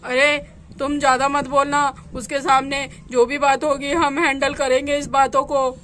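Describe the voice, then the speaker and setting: A woman voicing a worried, guilt-ridden middle-aged village father, speaking low and heavy. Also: level tone, moderate pace, fairly bright tone, slight background noise. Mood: resigned.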